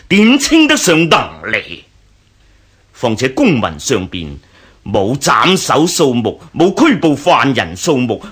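A powerful, scheming regent in a radio drama. He speaks Hong Kong Cantonese in a husky voice, slowly and pompously, with big dismissive swoops. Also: a little high, fairly bright tone, full character voice. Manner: pompous, dismissive, drawn-out delivery. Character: haughty, scheming powerful regent, elder brother.

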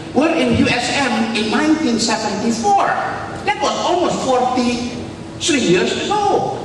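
Older man speaking in an echoey hall. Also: extremely high pitch. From Indonesia. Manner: lively storyteller, walks the stage with a handheld mic. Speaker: conference panel speaker. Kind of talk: conference talk to a large audience. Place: large conference hall stage. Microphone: handheld mic. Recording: echoey hall, far from the mic, noisy.

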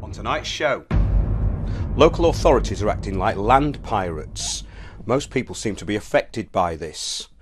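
A middle-aged man with a British accent narrates like a show host reading from a script. His voice sits on the low side and is noticeably husky. The delivery is measured and declarative.

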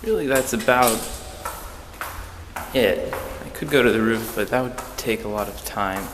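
A young man giving a casual, halting, understated walk-through tour on a handheld camera. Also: noisy background. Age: young adult. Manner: low-key, halting, understated. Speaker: exchange student giving a tour. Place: indoors in a student dormitory, walking through rooms.

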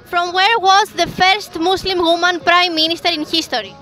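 Young woman, street interviewer, high voice, bright and friendly, handheld mic outdoors. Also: steady tone, moderate pace, soft background music. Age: young adult. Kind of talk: street vox-pop interview. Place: busy city square outdoors.

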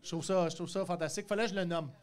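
A man's voice: sits high, fairly even intonation, racing, smooth. A man, hosting a podcast interview and speaking into a handheld mic in a studio. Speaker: podcast host. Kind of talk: casual interview. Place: dark podcast studio with neon lights.

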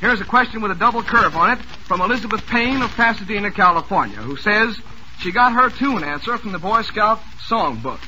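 A man's voice: high voice, lively intonation, a little fast, bright, forceful tone. A man hosting a 1940s radio music quiz, jovial and teasing as he reads out listeners' questions. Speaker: quiz show host. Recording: noisy old radio broadcast.

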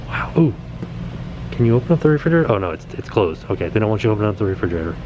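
A man in his forties excitedly pointing things out as he walks through a small indoor set, filmed on a handheld camera at arm's length. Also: lowish voice, racing, lo-fi sound, background noise. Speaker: vlogger. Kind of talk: casual walkthrough vlog. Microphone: camera held at arm's length.

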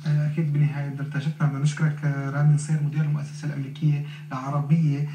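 A man co-hosting a talk show, loudly giving formal thanks to close the segment. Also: fairly high pitch, flat delivery, racing, over music. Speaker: TV co-host. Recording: echoey TV audio with noise.

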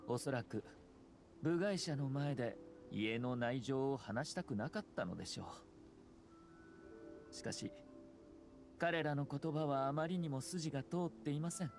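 A man voicing a courteous, calculating young clan head in a Japanese anime game, smooth, formal and measured. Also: mid-range voice, animated, darkish tone. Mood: composed, serious. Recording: game music underneath.

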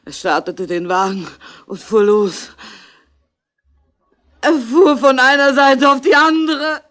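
A woman's voice: sits high, slow pace, a bit bright. An ailing older bar woman, bitter with jealousy and love. She confesses with anguished, theatrical weight in a vintage radio crime play. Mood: broken confession. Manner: anguished, theatrical, dramatic delivery. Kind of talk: 1960s German radio crime drama acting.

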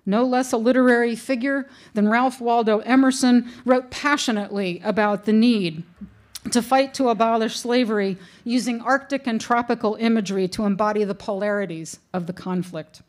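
A woman's voice: on the high side, fairly even intonation, moderate pace. A middle-aged woman reading a prepared lecture from notes at a lectern, with dry humorous asides, into a lectern microphone in a wood-paneled room.

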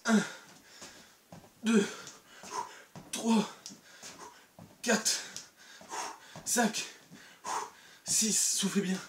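Young man, fitness coach, counting reps, out of breath, energetic, distant camera mic, echoey bedroom. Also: sits high, drawn-out words, pauses often, noisy background. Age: young adult. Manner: energetic, encouraging, exercising while talking. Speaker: fitness youtuber. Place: bedroom at home. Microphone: camera mic from across the room. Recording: echoey room, voice from far off.

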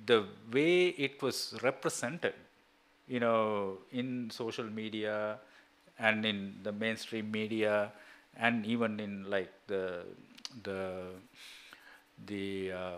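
Halting man with a bright, forceful voice. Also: lowish voice. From Sri Lanka. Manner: halting, with frequent "you know" and "right?" tags. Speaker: seminar speaker. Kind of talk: answering a question at an academic seminar. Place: small seminar room indoors. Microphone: gooseneck table microphone.

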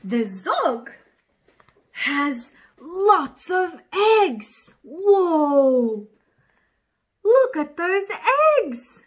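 Young woman doing a kids read-aloud, super high voice, really slow n playful. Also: big pitch swings. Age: young adult. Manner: exaggerated, slow, playful delivery with sound effects. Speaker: children's storyteller. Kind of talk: animated picture-book read-aloud for young children.

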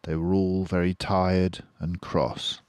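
An older man reading a children's book aloud as storyteller, unhurried and expressive. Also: low-pitched, clean tone, no room sound, studio quality.

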